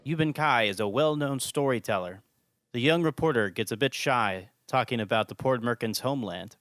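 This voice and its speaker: A man's voice: medium pitch, moderate pace, fairly bright tone, dry recording, clean studio sound. A man hosting and narrating a scripted audio drama podcast.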